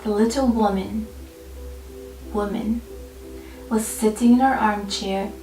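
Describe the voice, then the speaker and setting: A woman reading a children's picture book aloud expressively, with deliberate, dramatic phrasing and pauses. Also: mid-range voice, fairly even intonation, dark tone. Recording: roomy, distant mic, faint music behind. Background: faint background music.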